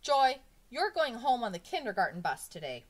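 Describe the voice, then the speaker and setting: A woman voicing a brisk, exasperated woman schoolteacher in a children's storybook, firm and matter-of-fact. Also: fairly high pitch, wildly swooping pitch, fairly bright tone, voice pushed up, exaggerated voice. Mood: scolding.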